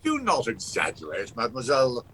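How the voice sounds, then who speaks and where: Guy acting pompous dismissive lord-of-the-castle dad in a radio play, pitch all over the place, bit of noise. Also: huge pitch swings, a little fast, sharp tone. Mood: indignant. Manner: pompous, formal period speech. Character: blustering, dismissive father, master of a castle estate.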